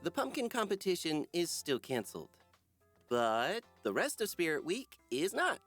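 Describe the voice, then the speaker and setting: An animated male schoolteacher played by an actor addresses a class with theatrical cheer and dry wit. The pitch swings widely around a middle register, with soft music underneath, as in a visual novel. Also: moderate pace. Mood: announcing, coaxing. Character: upbeat, dry-humored middle school teacher, a man.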